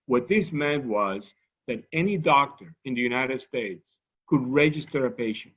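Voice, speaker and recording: A man's voice: mid-range voice, a bit bright, lo-fi sound. A man from Cuba with a Cuban Spanish accent, giving a scientific lecture in a conversational way, often restarting his sentences and adding asides. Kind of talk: academic lecture.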